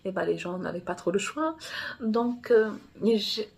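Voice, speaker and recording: Husky-voiced woman from Angola, native Portuguese speaker. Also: medium pitch, lively intonation, unhurried, darkish tone. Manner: rambling, often restarts and corrects herself. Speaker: romance author. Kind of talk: casual personal monologue.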